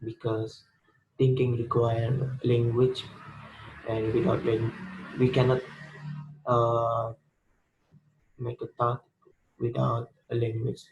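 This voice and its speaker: A man's voice: mid-range voice, monotone, slow pace, pauses often, a little mellow, lo-fi sound, slight background noise. A young man with an Indonesian accent, a student giving a spoken class presentation, hesitant, with many fillers and restarts. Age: young adult.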